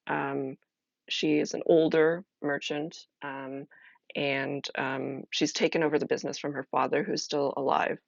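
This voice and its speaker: A woman streamer chatting casually as she explains a solo role-playing game, halting and thinking aloud. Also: sits low, level tone, creaky, no echo, muffled recording.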